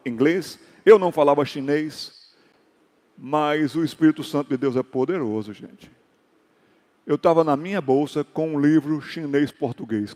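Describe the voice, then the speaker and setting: Brazilian-sounding pastor in an echoing church. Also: male voice, a little high. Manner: storytelling with acted dialogue and sudden loud exhortations. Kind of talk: sermon. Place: church hall.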